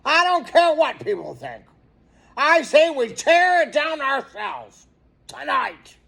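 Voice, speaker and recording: A man voicing an indignant, gruff neighbor in a children's storybook, emphatic and roaring. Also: extremely high pitch, big pitch swings, slow, pushed and bright, voice pushed up, exaggerated voice. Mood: outraged.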